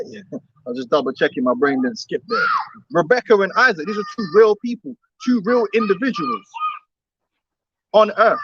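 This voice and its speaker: A man's voice: sits high, fairly bright tone, lo-fi sound, a little hiss or hum. A man preaching outdoors on the street, emphatic and repetitive, often laughing between his points.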